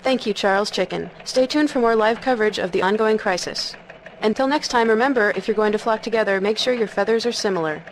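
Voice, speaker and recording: An actress playing a composed, mock-serious hen news anchor in a game cutscene, with deadpan announcer phrasing. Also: female voice, mid-range voice, fast talker, close to an everyday voice. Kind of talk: parody newscast delivery. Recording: noticeable background noise.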